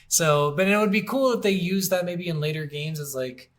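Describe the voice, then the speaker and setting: A man's voice: a little high, moderate pace. A man co-hosting a gaming podcast, chatting casually in blunt, slangy language full of swearing. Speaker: podcast co-host.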